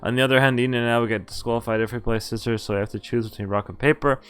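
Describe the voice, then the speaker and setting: A man's voice: mid-range voice, steady tone, rapid-fire, fairly bright tone, clear, resonant tone. A man streaming a game, reading its story text aloud with casual, amused, sweary commentary.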